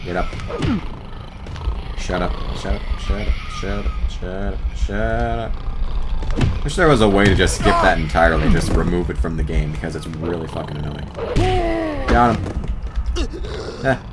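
A man doing a let's play commentary, exasperated, swearing and yelling at the game. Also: low voice, unhurried, long pauses. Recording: commentary over loud game music. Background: game music.

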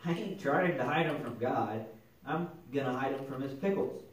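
A man's voice: mid-range voice, expressive, normal speed, lightly acted. A man voicing a mischievous, stubborn schoolboy puppet in a children's church show, with a casual, scheming, exaggerated delivery. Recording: very echoey, distant mic, noisy.

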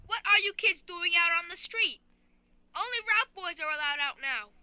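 A woman acting a brisk, officious newsboy who recites boasts like a manual in an audio drama. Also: extremely high pitch, expressive, sharp tone, no room sound, heavily acted. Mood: officious, boastful. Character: brisk robotic young newsboy reciting propaganda.

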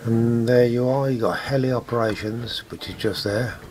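A man's voice: medium pitch, moderate pace, a little mellow. A man casually narrating a walking tour outdoors on a windy seaside path, rambling with many fillers into a camera mic. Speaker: vlogger walking tour guide. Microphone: handheld camera mic in wind. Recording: wind noise with background music.

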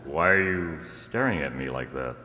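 An older man playing a suave husband feigning concern in a radio mystery, animated and coaxing. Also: extremely low pitch, normal speed, heavily acted. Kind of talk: old-time radio mystery acting. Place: stage in a hall, live reading. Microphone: stand microphone. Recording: echoey hall stage performance.

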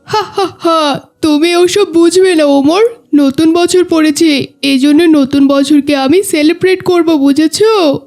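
A very high, whiny little schoolboy for a children's cartoon. He sounds petulant and childlike, in a moral-tale dubbing style. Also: slow, a little mellow. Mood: defiant, dismissive. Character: defiant, fun-loving schoolboy, later remorseful.

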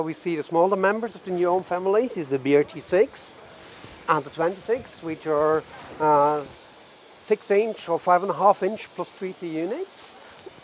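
A man in his sixties giving a measured, technical product presentation as a head of research and development at a trade show booth. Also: high voice, a little hiss or hum. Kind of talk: semi-scripted product presentation. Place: trade show booth in a large exhibition hall.

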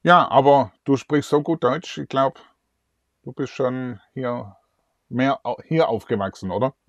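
A man's voice: medium pitch, a little slow, no echo, muffled recording. A middle-aged man hosting a celebrity interview, chatty and upbeat, wearing a lapel mic indoors. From southwest Germany. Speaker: host.

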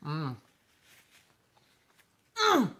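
Southern guy, 30s, eating-show host; chatty, calls viewers y'all, bright forward tone. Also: a little high, wildly swooping pitch, drawn-out words. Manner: chatty, addresses viewers as y'all, chews between remarks. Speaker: mukbang vlogger. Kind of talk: casual eating show chat. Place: living room with sofa and window blinds. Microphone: camera in front at table distance.

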